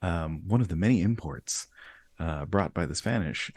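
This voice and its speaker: A man hosting a casual interview, talking in a relaxed way and laughing easily between points. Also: low-pitched, normal speed, slightly rough, dry recording. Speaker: host.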